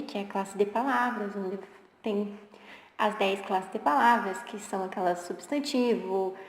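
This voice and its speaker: A woman's voice: on the high side, gravelly. A woman in her twenties, a geography teacher, telling in a chatty way how she learned the language for exams. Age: 20s. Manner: conversational, with restarts and filler words. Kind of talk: personal story pitching a study method. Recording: roomy echo with long reverb.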